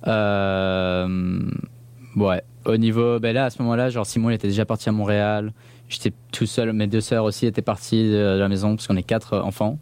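A man's voice: on the low side, level tone, moderate pace, darkish tone, smooth, no echo. A young man from Belgium, born in northern England, chatting casually as an interview guest in informal, slangy speech mixed with English words. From born in northern England, grew up in Belgium. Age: young adult.

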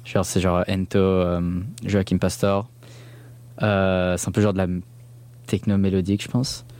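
Low-pitched young man, casual and slangy. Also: flat delivery, a little slow, a little mellow, clear, resonant tone. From born in northern England, grew up in Belgium. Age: young adult. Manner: informal, slangy speech with English words mixed in. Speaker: interview guest. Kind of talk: casual interview chat.

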